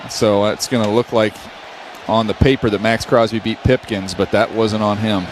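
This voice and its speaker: A man's voice: lowish voice, little pitch movement, normal speed, crowd noise, noisy background. An American man giving live football analysis as a color commentator.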